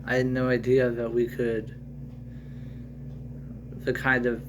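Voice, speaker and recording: A man reading a story aloud in an amateur, halting way. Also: mid-range voice, monotone, slight background noise. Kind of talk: amateur story read aloud with improvised dialogue.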